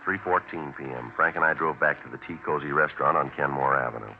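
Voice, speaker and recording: An actor playing a businesslike, unflappable police detective sergeant in a 1950s radio procedural, terse and matter-of-fact. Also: male voice, very deep voice, fast, soft background music. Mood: flat narration. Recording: noisy, dull 1950s radio recording.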